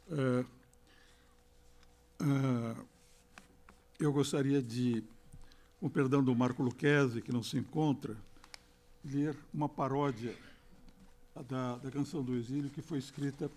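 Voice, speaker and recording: An older man giving a formal lecture, reading passages aloud and stopping for halting asides with fillers and self-corrections, speaking at a podium microphone in a lecture hall. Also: mid-range voice, creaky, dark tone. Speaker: lecturer at a literary academy. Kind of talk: formal lecture with read quotations.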